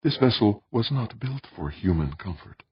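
A man voicing a stiff, solemn old man, a formal and deliberate guide in a science fiction audiobook. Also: on the low side, sing-song, breathy edge, no echo, lo-fi sound, voice pushed up, exaggerated voice. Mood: quiet, formal.

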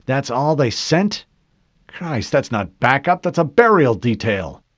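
A man's voice: medium pitch, sing-song, measured pace, no echo, voice pushed up. A man voicing a nervous young male marine who asks anxious questions. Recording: dull lo-fi dry recording.

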